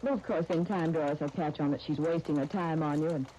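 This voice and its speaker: An actress playing an earnest, tactful young dress designer in a 1930s radio soap opera, speaking in a polite, gently persuasive way. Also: female voice, sits low, fast, lightly acted. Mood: curious, measured. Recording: muffled, hissy 1939 radio broadcast recording.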